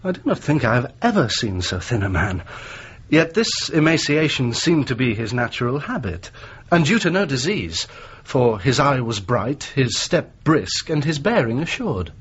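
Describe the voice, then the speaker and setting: An actor playing an earnest young Victorian engineer shaken by an ordeal, speaking formal, polite, articulate English-accented lines in a radio drama. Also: male voice, medium pitch, very animated, moderate pace, fairly bright tone, slightly rough, highs cut off. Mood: formal, guarded.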